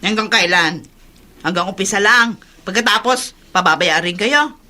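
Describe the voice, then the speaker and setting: A woman's voice: medium pitch, lively intonation, moderate pace, sharp tone. An actress with a Filipino accent playing a cynical, scornful, mocking woman in a radio drama. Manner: mocking, blunt. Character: cynical, self-interested, scornful woman of the household.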